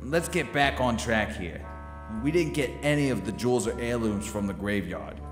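A man's voice: mid-range voice, moderate pace, over music, close to an everyday voice. Man acting a bossy crook in echoey reverb. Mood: impatient. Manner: gruff, commanding, impatient. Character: bossy, short-tempered New York crook and heist schemer. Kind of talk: audio theater acting.

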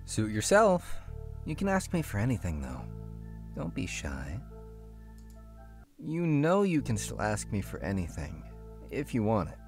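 An actor playing a soft-spoken, menacing male kidnapper who coaxes his captive in a horror visual novel. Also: lowish voice, huge pitch swings, exaggerated voice. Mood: teasing, menacing. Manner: slow, coaxing, lingering delivery. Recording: music behind.

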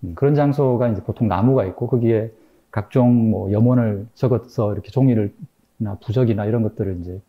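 A man in his forties giving a public lecture from a lectern, thoughtful and hesitant with many fillers and pauses. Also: fairly low pitch, phone-quality sound. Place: gallery lecture room with projector. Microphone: microphone stand at lectern.

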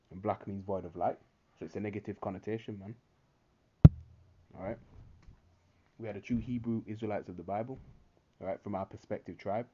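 Man, low voice, dark tone, preachy commentary, lo-fi phone recording. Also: breathy edge. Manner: preachy, frequent "all right?" check-ins. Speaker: religious commentator. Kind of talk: screen-recorded commentary reading articles and scripture.

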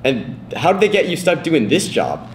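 A man's voice: fairly high pitch, big pitch swings, moderate pace. Young guy acting a sceptical student, echoey. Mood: dry, sceptical. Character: confused, sceptical male student cramming for a history test. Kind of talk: amateur student comedy skit acting. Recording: echoey room, faint background noise.

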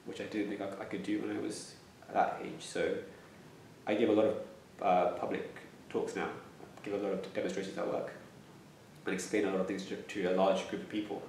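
Low, flat-voiced young man who stammers. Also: a little fast, slight background noise. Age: 20s. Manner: stammers, with repeated words and restarts. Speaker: interviewee. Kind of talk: reflective interview answers. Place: plain room indoors. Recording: echoey room, slightly distant mic.

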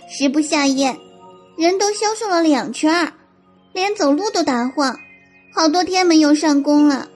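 A woman playing a young, eager maidservant who reports deferentially to her mistress in an audio drama. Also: high-pitched. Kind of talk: audio drama character acting.

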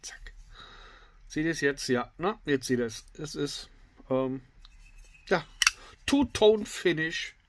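A middle-aged man with a slightly congested voice, reviewing a knife in a casual, filler-heavy way that often drops to excited whispers. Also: on the high side, takes it slowly, long pauses, dry recording. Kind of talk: casual tabletop product review. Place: indoors at a wooden table.

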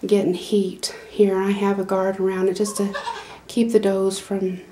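Slow, mellow farm woman. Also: mid-range voice, monotone, a little hiss or hum. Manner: rambling, homespun, many fillers and restarts. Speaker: goat farmer giving a barn tour. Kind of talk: casual walkthrough narration. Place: small wooden barn, indoors. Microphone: handheld phone camera.

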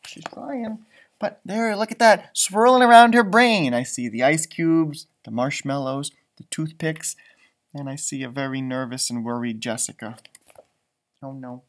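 A man in his thirties reading a children's storybook aloud, expressive, with chatty asides and drawn-out emphasis. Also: a little high. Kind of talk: animated read-aloud with casual asides.

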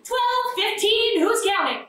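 A woman's voice: very high-pitched, slow, heavily acted. A young woman playing a glib, manipulative, callous woman with a morbid streak in a theatrical staged reading. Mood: cold, defiant. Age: young adult. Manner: animated, flippant, theatrical. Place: small café, live staged reading. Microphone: far camera. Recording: echoey, distant camera mic, faint noise.

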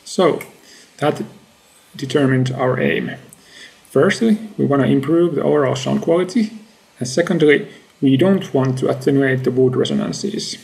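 Creaky-voiced man. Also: medium pitch, moderate pace, a little mellow. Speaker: researcher presenting a paper. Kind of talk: scripted academic slide presentation.

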